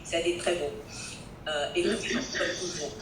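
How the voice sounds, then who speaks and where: A woman moderating a conference live, polite and deferential, with frequent hesitations between phrases. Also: moderate pace. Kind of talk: live introductions and thanks. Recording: echoey, noisy with low rumble.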